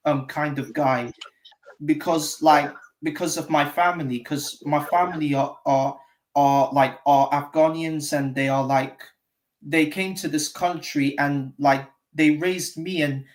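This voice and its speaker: A man from England calling in to a live show, talking hesitantly with many fillers. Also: on the high side. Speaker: caller. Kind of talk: casual chat. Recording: echoey room.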